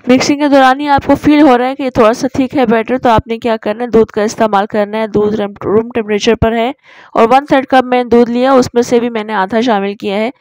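A woman's voice: on the high side, steady tone, fairly quick, darkish tone, no echo, rough recording. A woman narrating a recipe tutorial as a voice-over, explaining each step in an instructive way. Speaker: cooking channel host.

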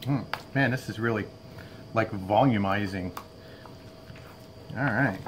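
A man's voice: on the low side, takes it slowly, slight background noise. Chatty middle-aged man cooking outdoors. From Florida. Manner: relaxed, chatty, lots of "all right" fillers. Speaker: outdoor cooking channel host. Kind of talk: casual cooking demo. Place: outdoor covered patio at a table.

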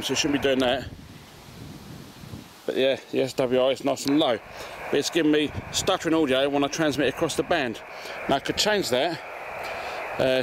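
A man in his forties with an English accent casually demonstrating gear as a video host. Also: medium pitch. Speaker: amateur radio video host. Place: outdoors in a garden and on a street. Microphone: camera mic, arm's length or further. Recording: outdoor camera audio with background noise.

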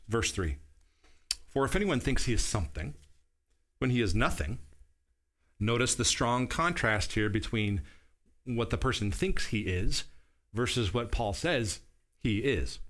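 A man's voice: mid-range voice, a bit bright. A man in his forties preaching a sermon from a small studio set, explaining verses in an earnest teaching tone and checking in with his listeners. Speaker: pastor. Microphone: seated at a table, camera at medium distance.

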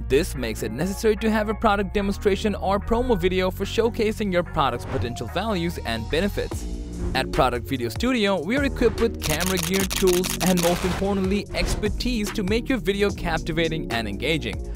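A man announcing a scripted advertisement as an upbeat sales pitch. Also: big pitch swings, brisk, music in the background.

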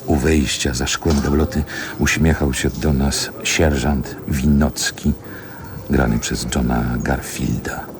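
An actor playing a nostalgic narrator in a radio play, measured, lyrical and reflective. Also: male voice, rumbling low, steady tone, background noise, full character voice. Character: male narrator, nostalgically recalling a Warsaw boyhood. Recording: music behind the narration.

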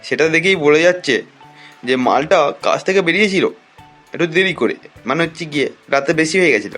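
A man's voice: on the high side, lively intonation, fast talker, fairly bright tone, faint music behind. A man voicing a gossipy, bossy, easily exasperated village elder-brother figure in a cartoon, with crude, scolding talk. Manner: crude, scolding, explaining at length. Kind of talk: cartoon voice acting.

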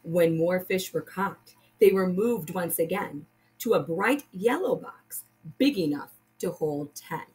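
A woman's voice: on the low side, a little slow, darkish tone, low-quality recording. A woman teaching young children, patiently explaining step by step and reading aloud like a primary school teacher. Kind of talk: children's lesson and picture book read-aloud.

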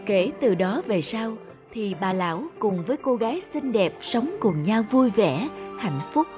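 A woman's voice: mid-range voice, lively intonation, a little slow, lo-fi sound, over music. A woman reading scripted narration for a children's folk tale.

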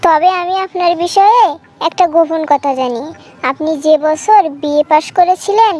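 A woman's voice: very high voice, moderate pace, warm tone. A woman voicing a cheeky, defiant young village girl in a cartoon, talking back with a Bangladeshi accent. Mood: cheeky, argumentative. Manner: sassy, argumentative back-talk. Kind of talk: amateur cartoon dialogue.

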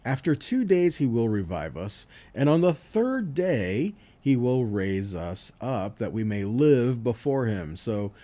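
A man's voice: medium pitch, big pitch swings, unhurried, few pauses, mellow. A man giving an informal Bible teaching, talking conversationally and often checking in with rhetorical questions. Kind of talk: informal teaching sermon with scripture readings.

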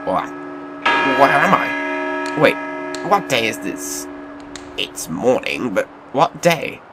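Casting: male actor as fervent old miser for radio play, very animated delivery, cavernous reverb, noisy recording. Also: mid-range voice, takes it slowly. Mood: dazed joy. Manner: theatrical, fervent. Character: old miser, anguished and repentant, then giddily joyful. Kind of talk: audio drama acting.

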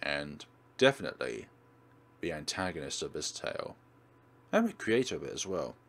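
A man's voice: extremely low pitch, sing-song, moderate pace, a bit bright. A man hosting a let's play, giving instructional, step-by-step game walkthrough commentary.

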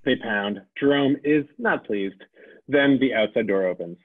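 Guy narrating stage directions for a comedy script, husky, sounds like a phone line. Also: medium pitch, unhurried. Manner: amused, laughs between directions. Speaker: table read narrator reading stage directions. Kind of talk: reading a comedy script aloud.

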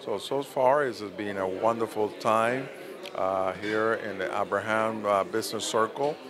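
A man in his fifties, a company CEO, speaking haltingly with many pauses in an event interview. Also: fairly low pitch, fairly even intonation. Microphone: handheld interviewer mic. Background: crowd chatter.

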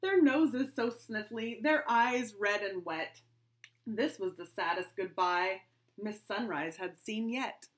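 A middle-aged woman, a kindergarten teacher, reading a rhyming picture book aloud to children in an expressive, sing-song storytelling way. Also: medium pitch, slow. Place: small home room indoors.

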